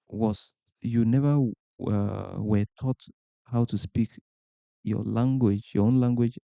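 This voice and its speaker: A man with a Ghanaian accent gives earnest, motivational advice. His voice is low, very dark and gravelly, and he asks rhetorical questions and repeats his points for emphasis. The sound is dry and a little muffled. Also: no room sound. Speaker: podcast host. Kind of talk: motivational talk to camera.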